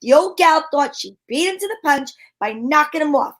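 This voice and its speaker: A woman playing a sharp-witted, wisecracking private detective in an old-time radio drama, talking in breezy slang. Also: sits high, lively intonation, normal speed. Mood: wry, explaining.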